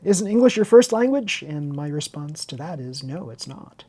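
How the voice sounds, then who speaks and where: Husky woman chatting through a hobby build. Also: low voice, big pitch swings, normal speed, warm tone. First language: Chinese. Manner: rambling, candid, self-interrupting. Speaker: hobby video host and teacher. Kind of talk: unscripted casual chat over a model car build tutorial.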